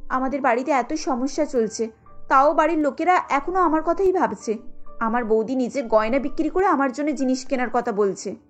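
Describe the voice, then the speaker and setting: Woman as a remorseful, high-voiced young daughter. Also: brisk, faint music behind. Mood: remorseful, pleading. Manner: pleading, emotional. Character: remorseful, earnest young married daughter, female. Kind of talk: cartoon story acting.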